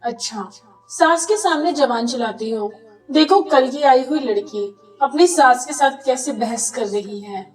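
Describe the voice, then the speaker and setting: A woman's voice: high voice, measured pace, far from the mic, soft background music, rough recording. A woman voicing a suspicious, sarcastic middle-aged mother-in-law who scolds in a cartoon story. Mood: scolding.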